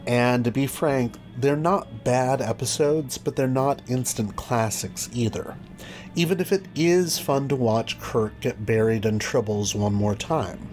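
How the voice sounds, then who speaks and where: A man's voice: mid-range voice, a little slow, a little mellow. A man presenting a scripted video review as host, with wry, dry asides. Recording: music behind the voice. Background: background music.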